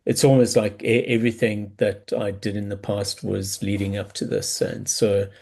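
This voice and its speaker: South African coach, low and flat, thoughtful and halting. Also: male voice, creaky. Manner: thoughtful, halting, many repeated words. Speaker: business coach guest. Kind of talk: reflective interview answers.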